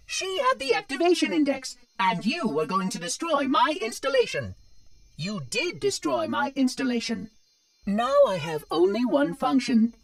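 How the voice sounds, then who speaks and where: A man's voice: very high-pitched, recorded from a distance, exaggerated voice. A man voicing a fussy, polite ancient caretaker machine in a video game, precise, prissy and formal. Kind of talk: dramatic video game acting.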